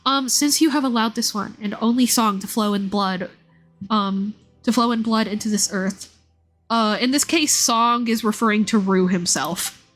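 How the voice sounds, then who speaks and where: A woman's voice: fairly high pitch, normal speed, a bit bright. A woman streamer giving casual, chatty live commentary and explanations of a game's story. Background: music.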